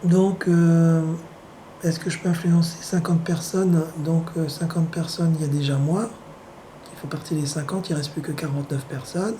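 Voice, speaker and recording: A hoarse man with the flu, speaking at home in a rambling, sardonic monologue full of hesitations. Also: on the high side, steady tone, moderate pace, warm tone, slight background noise. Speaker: vlogger.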